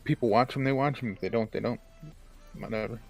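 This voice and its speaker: A man's voice: on the low side, fast, creaky, soft background music. A man chatting casually while gaming, explaining things plainly to his co-player.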